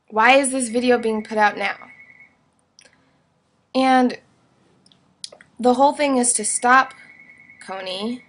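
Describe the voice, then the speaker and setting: Young adult female vlogger, slightly high voice, casual and opinionated, blunt informal delivery. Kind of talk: casual opinionated video monologue.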